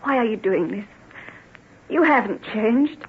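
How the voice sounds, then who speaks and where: An actress playing a devoted, self-effacing plain woman in love, emotional and halting, in an old-time radio drama. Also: a little high, animated. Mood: hurt, doubting. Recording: muffled, rough old radio recording.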